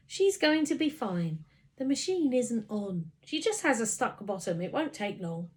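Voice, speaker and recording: A woman voicing a calm, reassuring male chief fire officer in a children's story. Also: a little high, pitched above the performer's own voice. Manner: soothing, measured.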